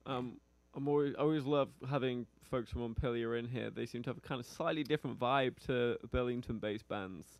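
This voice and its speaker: A male radio host with a foreign accent, interviewing a musician in a relaxed, hesitant way. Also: mid-range voice, fairly quick, few pauses. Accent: foreign, non-local. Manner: relaxed, hesitant, many fillers. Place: small radio studio. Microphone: studio mic on a stand.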